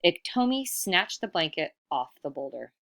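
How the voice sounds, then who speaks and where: A woman reading a children's picture book aloud expressively, pausing for casual comments and questions. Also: on the low side, big pitch swings, vocal fry, fairly bright tone. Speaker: storybook reader.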